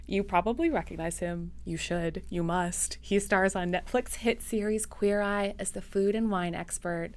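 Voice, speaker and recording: A woman's voice: medium pitch, creaky. A woman hosting a podcast, reading a lyrical introduction and then interviewing her guest. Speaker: podcast host.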